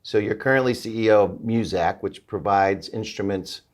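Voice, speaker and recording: A man's voice: lowish voice, normal speed. A man hosting an interview show, guiding the talk with casual questions and short, encouraging agreements. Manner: affirming, frequent short agreements and laughs. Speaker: interview host.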